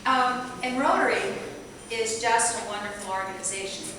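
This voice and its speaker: A middle-aged woman giving a rambling, chatty guest talk, projecting her voice loudly to a club meeting. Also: fairly high pitch, moderate pace, crowd noise. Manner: loud, projecting voice; chatty, rambling, self-deprecating. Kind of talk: informal speech to a club meeting. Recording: echoey hall from far off, audience.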